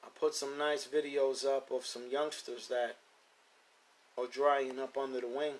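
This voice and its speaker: A man's voice: mid-range voice, flat delivery, a little slow. A man in his fifties hosting a live stream about his pigeons, talking casually and repeating points for emphasis. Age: 50s. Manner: loose, repetitive, emphatic street-casual talk. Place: at home near his pigeon loft.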